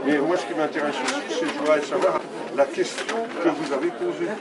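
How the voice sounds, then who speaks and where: Loud crowd chatter fills a large space around a man. His voice is a bit high, and he talks informally, questioning and interjecting as he goes. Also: fairly even intonation, a little fast. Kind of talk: informal public exchange.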